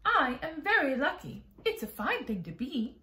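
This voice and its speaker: A woman's voice: high voice, big pitch swings, moderate pace, sharp tone, echoey room, pitched above the performer's own voice, exaggerated voice. A woman voicing a prim, genteel little ladybug. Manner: modest, refined, a little snobbish. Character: prim proud female ladybug, small and genteel.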